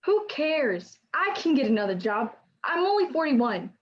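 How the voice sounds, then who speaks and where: Muffled, lo-fi audio of a woman acting a flighty middle-aged uncle in a 1940s radio play. The pitch is high and jumps around a lot. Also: big pitch swings, a little slow. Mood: flustered.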